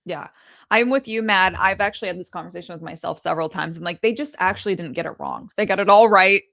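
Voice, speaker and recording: A woman's voice: fairly low pitch, fast, vocal fry, fairly bright tone, no room sound, clean studio sound. A woman co-hosting a stream, chatting casually with lots of fillers and laughs. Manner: loose, laughing, filler-heavy. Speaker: stream co-host. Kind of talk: casual chat.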